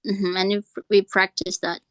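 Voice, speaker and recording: A woman's voice: medium pitch, little pitch movement, moderate pace, dry recording. An Indonesian woman hosting an online class, switching between English and Indonesian with some hesitation. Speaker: class host.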